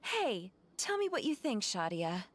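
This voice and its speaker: A woman voicing a friendly young townswoman in a video game, with an animated, gushing way of talking. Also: medium pitch, unhurried. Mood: excited.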